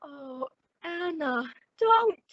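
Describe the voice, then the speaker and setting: A woman's voice: extremely high pitch, expressive, drawn-out words, dull audio, loud music behind the voice, full character voice. A woman playing a frightened, timid victim in a radio suspense drama, hesitant and pleading. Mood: fearful, pleading.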